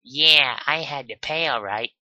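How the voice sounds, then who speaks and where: Man putting on a loud, cocky tomboy pony mare, high and bright, dry close sound. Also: measured pace, no room sound, poor audio. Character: brash tomboyish young female pegasus pony. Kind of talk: amateur fan fiction reading.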